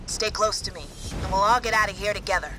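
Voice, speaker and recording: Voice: medium pitch, fast, background noise, natural voice. An actor playing a tough, determined supersoldier in a video game, with a clipped, resolute military delivery. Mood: urging. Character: tough, determined supersoldier leading rescued troops. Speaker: soldier.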